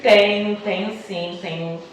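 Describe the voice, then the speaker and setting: A woman's voice: mid-range voice, fairly even intonation, slow, a crowd in the background. A middle-aged Brazilian woman, a schoolteacher speaking conversationally as an interview guest and telling personal stories. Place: echoey indoor room, far from the mic. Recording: echoey room, distant mic, noisy background.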